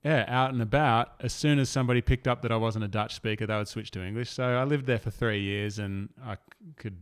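A man hosting a podcast in a relaxed, chatty way, with frequent fillers like yeah. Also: medium pitch, brisk, clear, resonant tone. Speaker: podcast host. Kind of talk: casual podcast interview.

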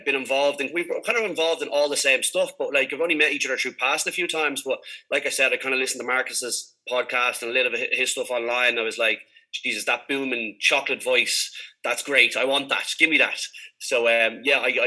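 A man's voice: medium pitch, bright, forceful tone, poor audio. A man from Dublin with a Dublin Irish accent, chatting casually and in a rambling, informal way as a podcast host being interviewed. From Dublin, Ireland.

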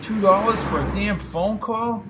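A man voicing a brash, impatient, outraged man in an audio story, with a gruff, demanding manner. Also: high-pitched, a little slow, noisy background, voice pushed up. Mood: irritated.

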